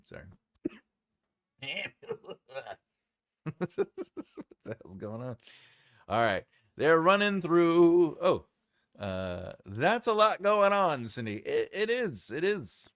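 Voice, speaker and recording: A man's voice: fairly high pitch, very animated, very slow, pauses often, no echo, clean studio sound. A man hosting a writing livestream, chatting casually and jokingly as he reads and answers viewer comments, rambling with lots of fillers.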